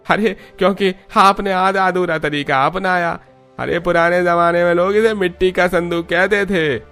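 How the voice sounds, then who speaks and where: Man as a high-voiced helpful vegetable seller. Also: steady tone, moderate pace, fairly bright tone, clean tone, faint music behind. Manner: reassuring, explanatory.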